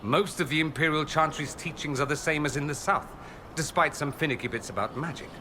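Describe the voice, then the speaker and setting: An actor playing an urbane gentleman mage with dry wit and polished, sardonic delivery in video game banter. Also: fairly high pitch, brisk, a little hiss or hum. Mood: wry, sardonic.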